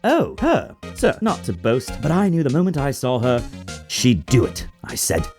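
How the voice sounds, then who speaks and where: A wildly swooping, obsequious bellboy. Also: male voice, medium pitch, wildly swooping pitch, moderate pace, a little mellow, faint music behind. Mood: conspiratorial. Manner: obsequious, pompous, melodramatic. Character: eager, self-important, morbidly excitable hotel bellboy. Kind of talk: dramatized video game dialogue.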